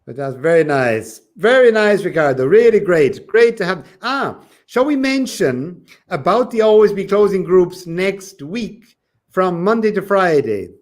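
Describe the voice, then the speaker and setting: A man's voice: sits high, big pitch swings, measured pace. A man from Ireland working as an English teacher, chatting casually on a livestream and coaching learners in an encouraging, emphatic way, often repeating his phrases.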